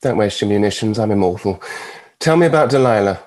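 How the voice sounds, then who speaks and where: An actor with a British accent playing a suave, arrogant devil in a script table read. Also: male voice, on the low side, expressive, quick pace, a little mellow, natural voice. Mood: cool, probing. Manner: urbane, sardonic. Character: suave, arrogant male devil with dry wit.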